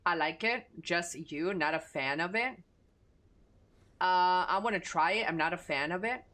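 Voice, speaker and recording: A woman's voice: lowish voice, moderate pace, a bit bright. A woman with a Texan Southern accent chatting casually and crudely with her audience while streaming a game. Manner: crude, profane, laid-back. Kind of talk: casual gaming stream chat.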